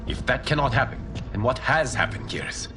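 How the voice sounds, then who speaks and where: An actor playing a solemn, noble lord and protector in a video game, speaking formally and earnestly over music. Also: male voice, medium pitch, lively intonation, a little fast, close to an everyday voice. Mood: troubled, resolute. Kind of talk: video game cutscene acting.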